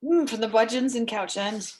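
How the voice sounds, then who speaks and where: A woman in a dramatic reading, playing a sharp, foul-mouthed, vulnerable woman with a halting, blunt delivery. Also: a little high, natural voice, poor audio. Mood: awkward, friendly. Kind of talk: dramatic reading of a stage play dialogue.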